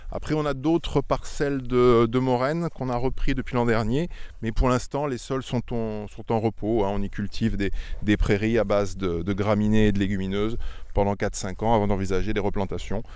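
A man's voice: fairly low pitch, steady tone, moderate pace, a little mellow, clear, resonant tone, dry recording. A middle-aged man from Auvergne, a winemaker explaining his vineyards in a casual interview, thoughtful and often repeating words as he goes. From Auvergne, France. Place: outdoors among vineyards.

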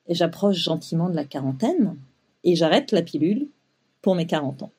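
A woman's voice: lowish voice, very animated, normal speed, a little mellow, husky. A woman in her forties telling her own story as an interview guest, with many fillers and restarts. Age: 40s. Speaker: guest, web publisher.

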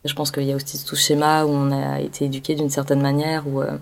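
A woman's voice: low-pitched, monotone, fast, gravelly. Frank young woman, casual and wry. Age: 20s. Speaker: interviewee. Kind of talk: candid personal testimony.

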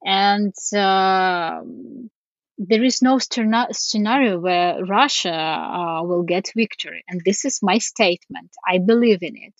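A woman with a Ukrainian accent answers interview questions slowly and earnestly, searching for words. The recording is dry and a little dull. Her pitch sits in a middle range. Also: animated, vocal fry, dry recording. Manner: earnest, halting, many fillers. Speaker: foundation CEO, guest.

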